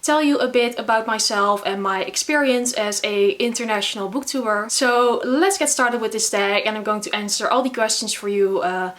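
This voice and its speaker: A young Dutch woman with a Dutch accent, talking casually to camera in English, often pausing with fillers. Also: a little high, fairly bright tone. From the Netherlands. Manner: chatty, frequent filler words and restarts. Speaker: booktuber. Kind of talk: casual vlog talk to camera.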